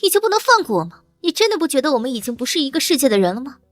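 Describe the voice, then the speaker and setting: Casting: actress as cold, sharp-tongued young princess, high-pitched, haughty, dry studio sound with faint score. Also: female voice, big pitch swings, rapid-fire, dry recording. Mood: angry, exasperated. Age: young adult. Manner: haughty, curt. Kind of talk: Chinese period comic-drama dubbing.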